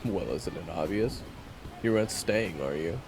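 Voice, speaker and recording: A man's voice: deep voice, lively intonation, moderate pace, gravelly, noisy background. A man playing a bitter, blunt monster hunter who talks in weary slang in an audio roleplay drama.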